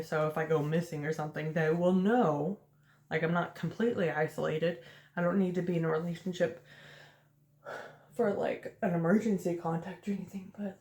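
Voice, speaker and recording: A woman's voice: fairly low pitch, a little mellow, echoey room. A woman in her late twenties rambling casually to the camera while doing her makeup, chatty and laughing often. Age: late 20s. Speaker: vlogger. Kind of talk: casual rambling get-ready-with-me chat.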